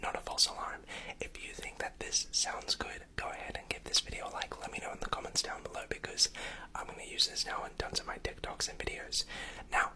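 A young man doing ASMR in a breathy whisper, speaking close up into a desktop condenser mic. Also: brisk, sharp tone. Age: young adult. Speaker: ASMR content creator. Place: indoor home recording setup.

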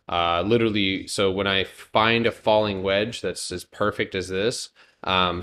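A man of thirty with a somewhat low voice walks through stock charts on a live stream. He talks casually and loosely, full of fillers, breaking off to joke with his viewers. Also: bright, forceful tone. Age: 30s. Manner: loose, joking with chat, many fillers.

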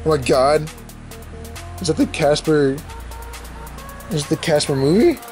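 A man's voice: on the high side, expressive, unhurried, a little mellow, faint music behind. A young man casually rambling and swearing as he opens old comic books at home, talking into a handheld camera. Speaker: podcast host opening comics. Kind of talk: casual unboxing commentary. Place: indoors at home, filming comics on a bed.

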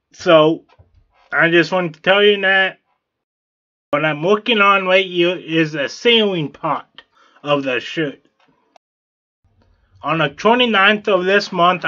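Man host, slow bright delivery, plain and repetitive, dull lo-fi recording. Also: on the high side. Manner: plain, repetitive, with fillers and restarts. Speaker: t-shirt artist, channel host. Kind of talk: narrated drawing tutorial.

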